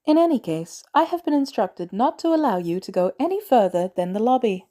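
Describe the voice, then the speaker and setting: A woman voicing a male artificial intelligence butler in an audiobook, formal, measured and unflappably polite. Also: on the high side, big pitch swings, warm tone, voice pushed up. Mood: cool, polite.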